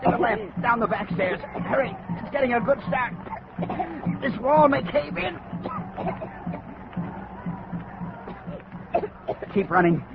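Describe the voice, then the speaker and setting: A man's voice: very high-pitched, expressive, moderate pace, over a noisy crowd. A man playing a steadfast, decisive plantation hero in 1940s radio drama, speaking earnestly. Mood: urgent. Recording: muffled old radio, clear hiss.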